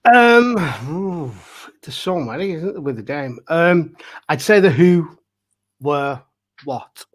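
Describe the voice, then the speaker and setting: A man's voice: fairly high pitch, animated, slow. A man who writes and performs pantomime, chatting casually as a panel guest. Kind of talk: casual chat.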